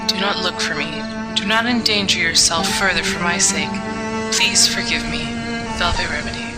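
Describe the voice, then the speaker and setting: A woman voicing an earnest, sorrowful but resolute female pony in an audiobook, speaking softly and solemnly in a measured way. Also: fairly low pitch, loud music behind the voice, lightly acted. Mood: solemn pleading. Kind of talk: audiobook character acting.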